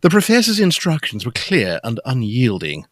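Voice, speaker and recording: A man's voice: mid-range voice, wildly swooping pitch, moderate pace, no echo, studio quality. A man reading scripted narration for a gothic audio drama.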